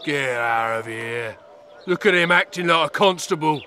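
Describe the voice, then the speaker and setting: A brash, sharp-voiced town guard. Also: male voice, fairly high pitch, animated, moderate pace. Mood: gruff, scornful. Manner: barking, sneering. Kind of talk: dramatic video game acting.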